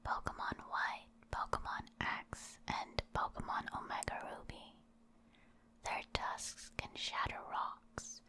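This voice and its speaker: A woman reads aloud in a soft, unvoiced whisper for ASMR. Her delivery is very slow and deliberate, with a soothing manner meant for relaxing. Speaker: ASMR creator reading game creature entries.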